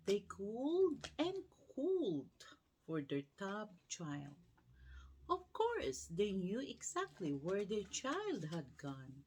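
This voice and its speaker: Slow, expressive woman reading a bedtime story. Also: mid-range voice, slight background noise, low-quality recording. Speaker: storyteller reading a children's book aloud. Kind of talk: bedtime story read-aloud.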